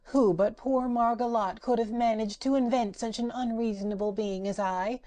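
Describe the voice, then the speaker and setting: A woman's voice: fairly high pitch, fairly even intonation, normal speed, mellow, pitched above the performer's own voice, natural voice. A woman voicing a giddy, boastful female patchwork rag doll who chants sing-song rhymes. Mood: gleeful.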